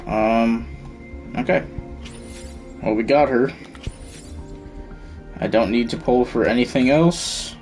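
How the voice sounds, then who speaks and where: A man's voice: medium pitch, slow, long pauses, darkish tone. A man giving casual live gameplay commentary, thinking aloud in an informal way. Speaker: game streamer. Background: faint game music.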